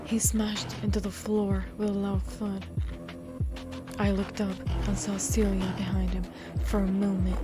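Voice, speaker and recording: A woman gamer with as her plays through a visual novel, with music clearly audible behind her. She reads the text aloud expressively and at an unhurried pace, stepping out of it for casual reactions and asides. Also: medium pitch, completely flat delivery. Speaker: gaming video creator. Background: game music.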